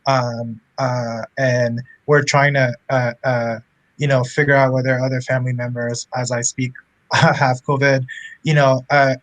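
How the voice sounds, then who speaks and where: A man's voice: medium pitch, flat delivery, measured pace, fairly bright tone, no echo, rough recording. A young man from Cameroon, a medical student guest, chatting casually over a video call with many fillers. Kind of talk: casual interview talk.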